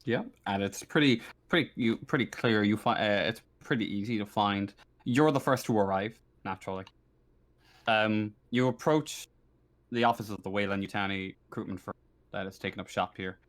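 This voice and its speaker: Halting man running a tabletop game. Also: on the low side. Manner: halting, self-correcting, conversational. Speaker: game master. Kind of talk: improvised narration of a tabletop roleplaying game.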